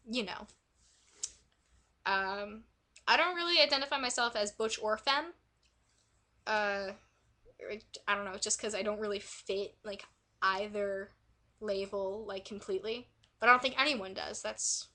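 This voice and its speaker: A young woman vlogging casually, hoarse and raspy from a cold, rambling with lots of fillers and self-corrections. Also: medium pitch, pushed and bright. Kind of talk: casual vlog talk. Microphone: quiet built-in mic.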